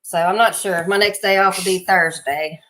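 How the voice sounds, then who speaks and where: A woman's voice: on the low side, steady tone, normal speed, pushed and bright, low-quality recording. A woman with a Southern accent, chatting casually and laughing on a livestream while she sets up a printer. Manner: chatty, laughs often, folksy talk. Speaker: livestream co-host.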